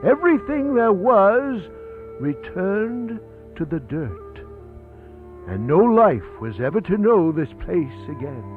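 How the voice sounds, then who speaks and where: A man's voice: high-pitched, very animated, slow pace, exaggerated voice. A man narrating a radio horror play in a solemn, portentous, dramatic style. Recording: thin phone-like band-limited audio with music.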